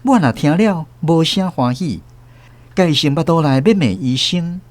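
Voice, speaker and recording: A man narrating a radio drama in a slow, expressive storytelling manner. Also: fairly high pitch, no echo.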